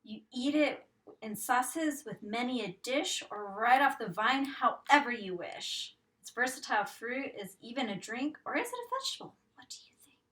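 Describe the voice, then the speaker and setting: A woman teacher reading a picture book aloud to young children, expressive and sing-song, pausing to ask guessing questions. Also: fairly high pitch, distant mic, low-quality recording.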